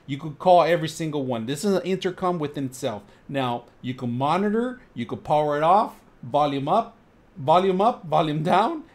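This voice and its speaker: Middle-aged male tech reviewer, casual and chatty, home studio desk mic. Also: mid-range voice. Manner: chatty, repeats himself, animated emphasis.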